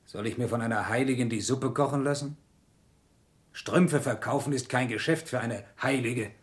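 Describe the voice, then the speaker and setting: A man's voice: mid-range voice, normal speed, natural voice. An actor playing a bitter, weary, impoverished craftsman husband in a German radio play, terse, subdued and reproachful.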